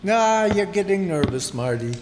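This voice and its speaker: An actor playing a steady beat cop who reasons in tough slang, in an old-time radio crime drama. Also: male voice, sits high, normal speed. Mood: steady, chiding. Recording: reverberant hall stage performance.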